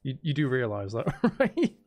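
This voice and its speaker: A man's voice: medium pitch, wildly swooping pitch, moderate pace, a little mellow. A man hosting a casual gaming livestream, chatting in a playful, teasing, rambling way and sounding a little tired. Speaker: livestream host.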